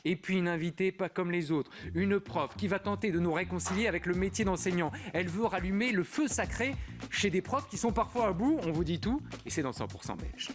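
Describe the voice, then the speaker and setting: High-pitched male TV host. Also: a little fast, over music. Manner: lively, prompting questions. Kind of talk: TV talk show hosting.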